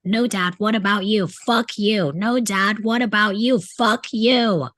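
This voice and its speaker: A woman's voice: medium pitch, fairly even intonation, takes it slowly, fairly bright tone, no echo, clean studio sound. A woman playing a bitter, sarcastic teenage delinquent boy who mocks his family in a script table read. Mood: furious mocking. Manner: sarcastic, aggressive, mimics parents in mock voices.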